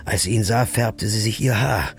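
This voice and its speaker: A grim, accusing low-voiced hero. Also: male voice, moderate pace, vocal fry. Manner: blunt, accusing, tense questions, sometimes whispered. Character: grim, desperate man hunting his girlfriend's killer. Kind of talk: radio play acting.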